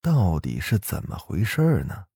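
A man's voice: deep voice, normal speed, husky, no echo, studio quality. A man hosting and narrating a ghost story in a dramatic, suspenseful manner. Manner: suspenseful, expressive. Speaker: host and storyteller.

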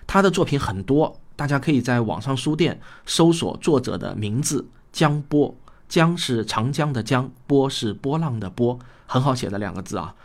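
A man's voice: medium pitch, animated, moderate pace. A man hosting a programme, introducing it conversationally and speaking straight to listeners. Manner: conversational, addresses listeners directly. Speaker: host and audio drama director.

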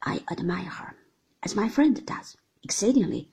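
A woman voicing a confident young gentleman who speaks slowly and distinctly, in a breathy voice. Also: medium pitch, very animated, heavily acted. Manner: measured, deliberate, slow and distinct.

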